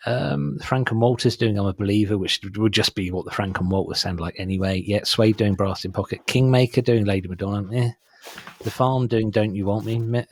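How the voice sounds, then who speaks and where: Husky, dry-voiced man hosting a podcast. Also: fairly low pitch, a little fast, no echo. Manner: wry, dismissive asides on cover songs. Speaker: podcast host. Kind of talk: casual chat.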